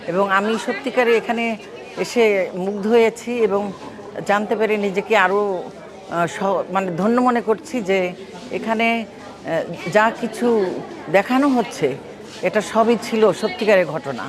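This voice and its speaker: A woman giving an interview answer, halting and pausing between phrases. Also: medium pitch. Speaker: exhibition visitor interviewed. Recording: busy public space crowd noise.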